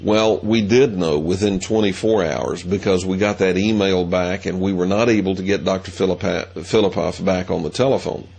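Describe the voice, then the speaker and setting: A middle-aged man hosting a show, telling a story in a measured, deliberate way. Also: low voice, steady tone, gravelly, darkish tone, slightly rough. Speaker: host. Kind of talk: monologue.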